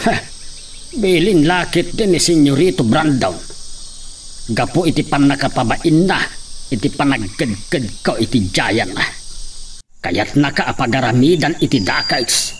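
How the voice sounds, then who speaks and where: A man's voice: mid-range voice, animated, measured pace, distant mic, a little hiss or hum. A man acting the father in an audio drama. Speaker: voice actor playing a father.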